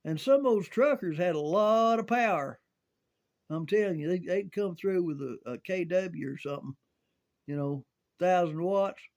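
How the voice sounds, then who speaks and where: An older American man reminiscing casually in a halting, folksy way, often repeating words as he goes. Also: sits high, lively intonation, vocal fry, a little mellow. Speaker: storyteller sharing recollections of CB radio and volunteer rescue.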